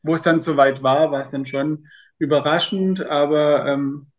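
A man in his late thirties answering interview questions hesitantly, with many pauses and fillers. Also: fairly high pitch, fairly even intonation. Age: 30s. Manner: hesitant, many ums and restarts. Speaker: interview guest, father.

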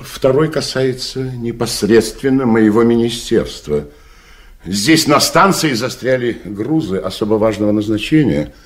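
An actor playing a formal, officious deputy minister in a radio play, speaking in stiff bureaucratic phrases. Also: male voice, mid-range voice, expressive, a little slow, lightly acted. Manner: formal, bureaucratic, flustered when refused. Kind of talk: archival radio play acting.

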